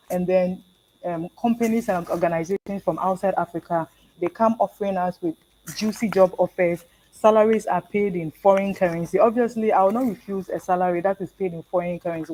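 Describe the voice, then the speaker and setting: Low-voiced woman giving a measured talk. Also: mellow, a little hiss or hum, low-quality recording. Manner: measured, with frequent um fillers. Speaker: guest speaker. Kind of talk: prepared talk at an online event.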